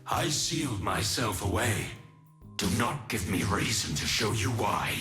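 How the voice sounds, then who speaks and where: An actor voicing an ancient fallen god-warrior in a video game, solemn, formal and commanding, wrath held under restraint. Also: male voice, on the low side, expressive, a little slow, bright, forceful tone, faint music behind.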